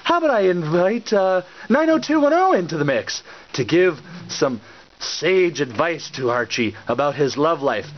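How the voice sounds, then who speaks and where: A man delivering a satirical recap in a sarcastic, theatrical and halting manner, often repeating words. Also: a little high, slight background noise. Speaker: reviewer and puppet-show host. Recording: slightly dull lo-fi audio.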